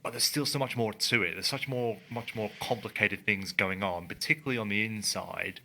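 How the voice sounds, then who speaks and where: A man's voice: on the low side, brisk, vocal fry, sharp tone, a little hiss or hum. A man co-hosting a casual podcast, talking earnestly and emphatically as he thinks aloud. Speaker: podcast co-host. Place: studio in a building. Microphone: studio microphone.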